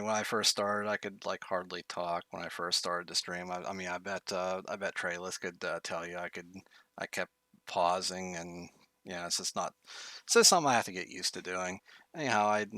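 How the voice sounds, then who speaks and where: Low bright-voiced man thinking aloud. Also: fairly even intonation, no room sound, clean studio sound. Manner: halting, thinking aloud, many fillers. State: voice getting tired. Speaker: streamer. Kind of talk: game tutorial commentary. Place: bedroom.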